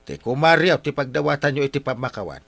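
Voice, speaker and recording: A gravelly Ilocano village man. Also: medium pitch, very animated, quick pace, a bit bright, no room sound, heavily acted. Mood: scolding, firm. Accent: Filipino, Ilocano. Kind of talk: broadcast radio comedy drama acting.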